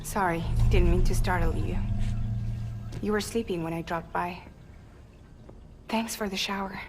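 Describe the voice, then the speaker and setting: A slightly low-voiced actress as a proud young woman courier, earnest and wounded, in a dramatic game cutscene. Music plays clearly behind her. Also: steady tone, a little slow, close to an everyday voice. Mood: casual.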